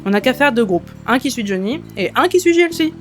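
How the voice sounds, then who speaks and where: A woman's voice: high voice, lively intonation, fast, over music. A woman playing an outspoken, easily exasperated young traveller in a comedic audio drama. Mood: practical. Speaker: fiction podcast character. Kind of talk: comedic audio fiction dialogue.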